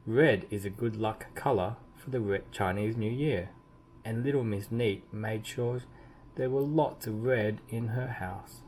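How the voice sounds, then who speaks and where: Low-pitched man reading a children's storybook, noisy recording. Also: darkish tone. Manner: deliberate emphasis, drawn-out key words. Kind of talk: expressive read-aloud storytelling. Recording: clearly audible background noise.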